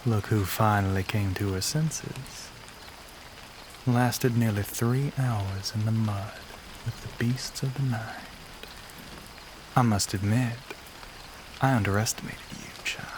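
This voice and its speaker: A lowish, very unhurried voice with a Southern US accent, hushed and breathy, often sinking toward a whisper. It is a courtly, lonely vampire gentleman, played by a man, full of old-fashioned politeness with soft menace underneath. It is an intimate audio roleplay, with faint night insects behind the words. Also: noisy background. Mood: smug, then kind.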